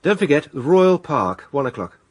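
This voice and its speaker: A man's voice: on the high side, very animated, moderate pace, no room sound. A man acting in a radio drama as a gallant, proud barrister with a polished, assertive manner. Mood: charming, eager. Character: confident, gallant bachelor barrister, impulsive and proud.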